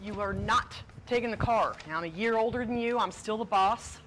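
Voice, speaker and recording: A woman's voice: mid-range voice, brisk, a bit bright, a little hiss or hum, natural voice. A woman playing a bossy, crabby old elder sister in a comedic stage play, scolding bluntly in folksy phrases.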